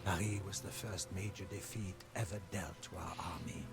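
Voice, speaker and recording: A man playing a battle-weary French soldier who narrates a video game's storybook journal in a solemn, theatrical, measured way. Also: low-pitched, steady tone, loud music behind the voice, lightly acted. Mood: grieving, bleak. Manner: solemn, theatrical, measured delivery with hushed phrase endings.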